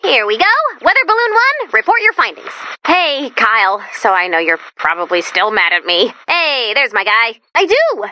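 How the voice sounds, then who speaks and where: A woman's voice: fairly high pitch, big pitch swings, normal speed, hardly any pauses, bright, forceful tone, dry recording. A woman voicing a perky, quirky female robot helper in a video game, chirpy and encouraging as she guides the player. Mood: quirky, excitable. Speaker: mission guide. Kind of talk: video game tutorial voice lines.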